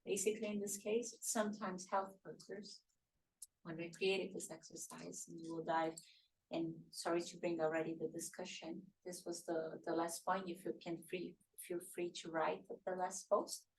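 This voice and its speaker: A woman's voice: fairly low pitch, little pitch movement, moderate pace, slight background noise, low-quality recording. A woman facilitating a security training workshop, talking things through and repeating words as she builds a point. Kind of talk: interactive training discussion.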